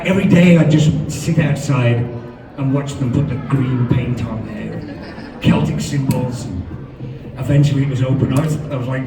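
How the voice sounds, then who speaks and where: A man from England with a Midlands accent, telling stories at a stage Q&A in a wry, self-deprecating way. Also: mid-range voice. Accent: English, Midlands. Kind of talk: stage Q&A chat. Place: large hall with audience. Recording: echoey hall, far off, crowd.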